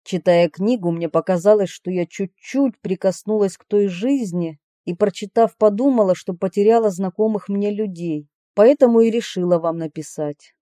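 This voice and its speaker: Woman reading letters, mellow and close. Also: mid-range voice, normal speed, hardly any pauses, no room sound. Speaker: reader of letters aloud. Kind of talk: expressive reading of personal letters.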